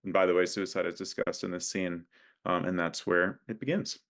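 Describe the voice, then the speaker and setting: A man, a playwright, introducing and reading from his own script in a careful, halting way. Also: low-pitched, fairly even intonation, vocal fry, a bit bright.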